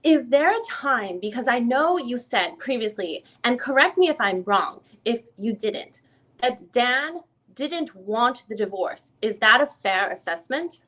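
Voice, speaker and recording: A woman's voice: on the high side, animated, unhurried, a bit bright. A woman playing an informal, probing attorney who questions a witness in a reading of a deposition, often rephrasing as she goes. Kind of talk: dramatic reading of a legal deposition.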